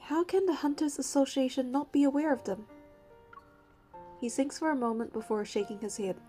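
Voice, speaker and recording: A woman's voice: high voice, normal speed, mellow, soft background music, close to an everyday voice. An actress playing an earnest, determined young woman hunter in a scripted game, voicing her dialogue and first-person narration. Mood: tense, serious.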